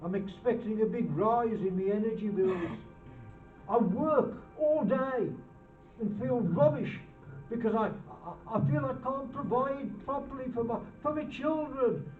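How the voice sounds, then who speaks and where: A person giving a dramatic, deliberate and emphatic reading of a personal testimony at a charity show. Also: medium pitch. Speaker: reader in a charity poverty show. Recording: distant, echoey, phone-like sound with music.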